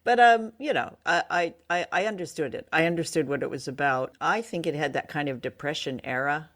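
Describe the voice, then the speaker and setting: A woman's voice: deep voice, brisk, fairly bright tone. Hesitant woman co-host chatting casually. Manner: hesitant, searching for words. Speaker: podcast co-host. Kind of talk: casual review chat.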